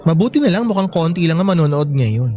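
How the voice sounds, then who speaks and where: Woman playing a lively, effeminate young male friend. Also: lowish voice, fast, faint music behind. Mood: giddy. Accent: Filipino. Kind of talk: Filipino radio drama acting.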